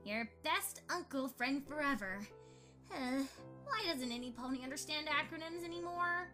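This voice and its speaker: Earnest young pony mare, acted by a woman, high bright voice, caring, bookish, cartoon-style audio drama, music behind. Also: animated, moderate pace. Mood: earnest, mildly exasperated. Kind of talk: amateur audio drama acting.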